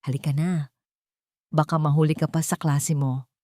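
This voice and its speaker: A woman playing a meek, gentle single mother in an audio story, soft and reassuring while worried. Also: low-pitched, level tone, moderate pace, dry recording, studio quality, close to an everyday voice.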